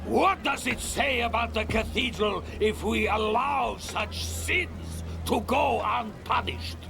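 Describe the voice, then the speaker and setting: A man's voice: very high-pitched, creaky, heavily acted. A man playing a fanatical religious commander in a fantasy game, declaiming with wrathful, righteous conviction. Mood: stern, accusing. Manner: declamatory, slow and thunderous. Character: fanatical, wrathful male religious zealot commander preaching merciless justice. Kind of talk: dramatic fantasy game acting, oratory. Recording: dramatic music behind the voice.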